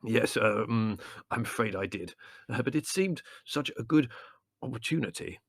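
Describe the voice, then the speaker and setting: A man voicing a bookish gentleman in a storybook who speaks haltingly, with ums and careful hesitations. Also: mid-range voice, lively intonation, creaky, no room sound, clean studio sound. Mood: contrite.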